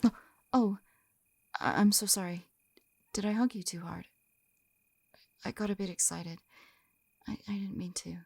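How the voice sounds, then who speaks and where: A young woman playing a gentle, shy lamia on a first date in an intimate ASMR roleplay, soft-spoken and often whispering, hesitant and tender, close to the microphone. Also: fairly even intonation, a little slow, long pauses, dry recording. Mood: flustered, tender. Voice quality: soft, breathy whispering mixed with low murmurs. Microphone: close-up microphone, dry sound.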